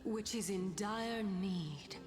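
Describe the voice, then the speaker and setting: A woman voicing a calm, gracious ancient goddess in human disguise, speaking softly in a breathy half-whisper. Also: mid-range voice, slow pace, in a large hall, natural voice. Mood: calm, knowing. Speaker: game character. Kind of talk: video game voice acting. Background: game music.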